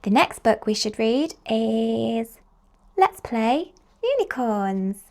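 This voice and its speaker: Female children's storybook reader, higher voice, unhurried and very expressive. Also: noisy background. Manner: expressive, slow storytelling with drawn-out exclamations. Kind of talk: animated read-aloud of picture books for young children.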